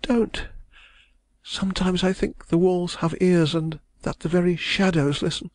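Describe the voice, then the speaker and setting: A man's voice: high voice, steady tone, a little slow, no room sound, pitched above the performer's own voice. A man reading a novel aloud, voicing an anguished, proud young woman who pleads and trembles as she speaks. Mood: frightened. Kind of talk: audiobook character voice.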